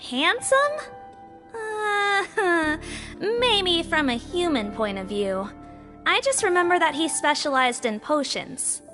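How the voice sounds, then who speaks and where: High-voiced, precise little investigator creature. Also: female voice, lively intonation, slow pace, full character voice. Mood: awkward. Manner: precise, explanatory. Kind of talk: video game voice acting. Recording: music behind. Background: background music.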